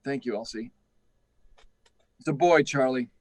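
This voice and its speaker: An actor playing an aging, anxious, guilt-ridden test-flight chief in a radio drama, gruff, halting and urgent, often repeating himself. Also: male voice, medium pitch, no echo, close to an everyday voice. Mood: weary, guilty. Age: older. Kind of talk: live radio drama acting.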